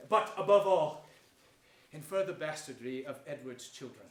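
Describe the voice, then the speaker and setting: A man's voice: a little high, expressive, lightly acted. An actor playing a scheming, sardonic nobleman in a declamatory Shakespearean stage style, sly and falsely courteous. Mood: commanding, scheming. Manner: declamatory, sly, with drawn-out deliberate phrasing. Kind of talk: theatrical Shakespearean stage acting. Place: theater stage before an audience. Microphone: distant stage or camera mic. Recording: roomy stage pickup, distant, faint noise.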